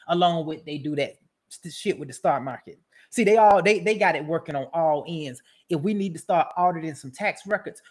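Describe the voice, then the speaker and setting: A woman's voice: fairly low pitch, slightly rough. A woman giving casual, profane roast commentary as a show host, brash and mocking, rambling and repeating her phrases.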